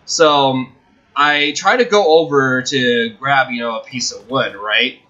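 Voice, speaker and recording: A young man hosting a video, telling a personal story casually in a halting, rambling, self-correcting way. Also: medium pitch, bright, forceful tone, highs cut off. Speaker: video host.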